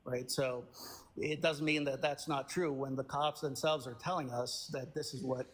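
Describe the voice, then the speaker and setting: A man's voice: medium pitch, fairly even intonation, moderate pace, husky. A man originally from India calling into a debate show, sounding defensive and hesitant with many fillers. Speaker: caller. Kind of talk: debate call-in. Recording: long reverb, echoey.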